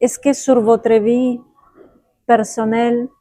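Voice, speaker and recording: Enthusiastic woman interviewer, mellow tone. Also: a little high, flat delivery, takes it slowly, dry recording. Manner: enthusiastic, frequent short reactions. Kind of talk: interview questions.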